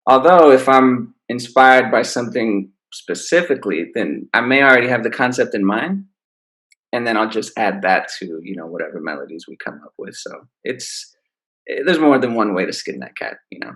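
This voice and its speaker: A man, an R&B singer, answering interview questions in a relaxed, easygoing way. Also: mid-range voice, normal speed, rough recording. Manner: relaxed, laid-back explaining. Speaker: R&B singer, guest. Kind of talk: casual interview.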